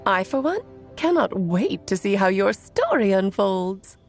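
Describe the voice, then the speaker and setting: A woman's voice: medium pitch, very animated, unhurried, faint music behind. A woman voicing a playful, theatrical witch in a video game, teasing and amused.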